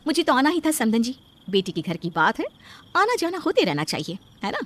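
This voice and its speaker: A woman's voice: a little high, big pitch swings, a little fast, fairly bright tone. A woman playing an outspoken, modern middle-aged mother in a cartoon story, teasing and assertive. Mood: playful. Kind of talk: animated cartoon story acting.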